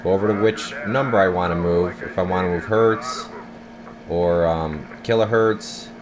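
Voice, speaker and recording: A man's voice: low voice, normal speed, crowd noise. A man talking casually and unscripted as he gives a laid-back, rambling radio equipment demonstration. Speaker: channel host demonstrating a CB radio. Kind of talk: casual unscripted demo talk.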